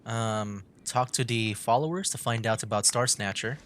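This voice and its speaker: A man's voice: mid-range voice, normal speed, a bit bright, slight background noise. A man streaming a story game, rambling with lots of fillers as he reacts. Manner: rambling, filler-heavy, laughs easily. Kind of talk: casual let's-play commentary. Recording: stream with game music behind.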